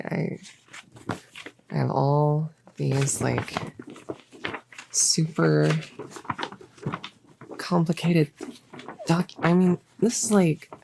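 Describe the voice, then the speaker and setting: A very low, creaky-voiced young cultist played by a woman in an intimate audio roleplay. She is bossy and possessive, with a giddy, playful smugness, and rambles casually as if thinking aloud. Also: warm tone, a little hiss or hum, full character voice. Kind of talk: intimate roleplay audio, improvised-sounding monologue.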